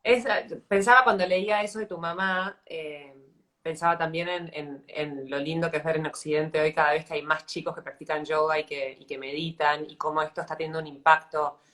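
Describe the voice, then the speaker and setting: Low-voiced Argentine woman interviewer. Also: fairly even intonation, fairly quick, fairly bright tone. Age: 30s. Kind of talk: casual live interview chat.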